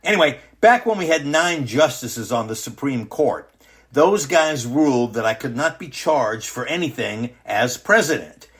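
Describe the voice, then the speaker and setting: A man playing a boastful, petulant self-crowned ruler in a satirical radio drama, pompous, dismissive and quick to interrupt. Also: mid-range voice, expressive, fairly bright tone, breathy edge, full character voice. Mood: smug.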